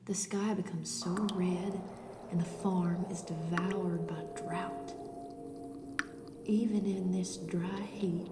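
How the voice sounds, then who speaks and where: A woman performing an intimate radio play monologue as a dreamy, obsessively lovestruck woman, breathy and drifting into whispers. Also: lowish voice, noisy background. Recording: music under the voice. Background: music.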